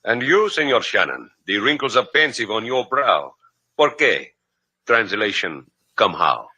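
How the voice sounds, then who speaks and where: Courtly, sharp-voiced inspector. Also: male voice, lowish voice, very animated, unhurried, breathy edge, dry recording, exaggerated voice. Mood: wry, probing. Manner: florid, formal, mock-polite. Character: courtly, sardonic male Cuban police inspector. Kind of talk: 1950s radio drama acting.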